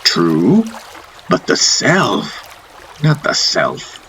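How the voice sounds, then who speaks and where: A man's voice: medium pitch, huge pitch swings, very slow, fairly bright tone, a little hiss or hum, voice pushed up, exaggerated voice. A man voicing an eccentric, teasing spiritual master in a fantasy audiobook, preaching emphatically in rhetorical questions and lessons. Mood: emphatic teaching. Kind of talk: audiobook character voice. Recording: music under the voice.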